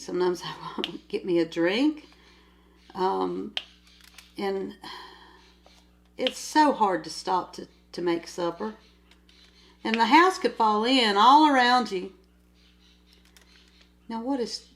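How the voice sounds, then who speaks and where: Slow-talking nasal grandmother. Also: mid-range voice, a bit bright, slight background noise. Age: older. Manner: rambling, chatty, easily sidetracked. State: nasal from a cold. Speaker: crafting vlogger. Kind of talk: casual chat while diamond painting. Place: at home.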